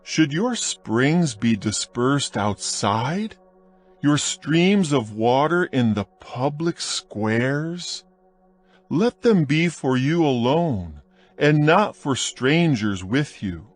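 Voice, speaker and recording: A man reading scripture aloud in a slow, measured, solemn dramatized narration. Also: medium pitch, soft background music. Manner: slow, measured, solemn recitation with lively rises on appeals. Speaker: Bible audio narrator.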